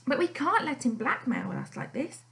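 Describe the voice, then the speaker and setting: Woman reading anxious wife, high, pleading, wailing. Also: big pitch swings, moderate pace, creaky, fairly bright tone, exaggerated voice. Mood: distraught. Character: anxious, fretful middle-aged wife.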